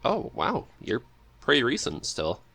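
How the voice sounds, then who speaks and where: A man's voice: mid-range voice, wildly swooping pitch, unhurried, vocal fry, fairly bright tone. A man hosting a podcast, interviewing his guest in a casual, chatty way.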